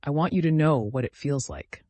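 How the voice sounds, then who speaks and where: A man voicing a vengeful, calculating woman in an audiobook, deliberate and accusatory, with bitterly controlled grief. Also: a little high, a little mellow, dry recording, natural voice. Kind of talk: dramatic audiobook character reading.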